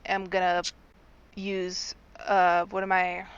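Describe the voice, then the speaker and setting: A woman playing a tabletop game, talking excitedly and swearing casually. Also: lowish voice, slow pace, clean tone. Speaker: player. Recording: dull lo-fi sound.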